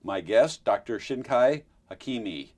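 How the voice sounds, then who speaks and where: A man hosting an informal interview, haltingly repeating words as he forms his questions. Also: medium pitch, expressive, darkish tone. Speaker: TV interview host.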